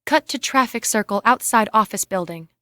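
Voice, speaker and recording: A woman reads screenplay scene directions as a narrator, with a mid-range pitch. Her voice is recorded dry, with hardly any room around it. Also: animated, moderate pace, pushed and bright, dry recording. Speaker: reader of stage directions.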